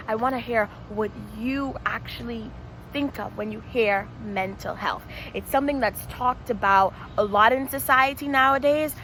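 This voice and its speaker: A woman's voice: on the high side, a bit bright, a little hiss or hum, low-quality recording. A woman from the Bahamas, a licensed counselor, giving an encouraging, interactive talk to students and repeating points for emphasis. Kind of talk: presentation to students.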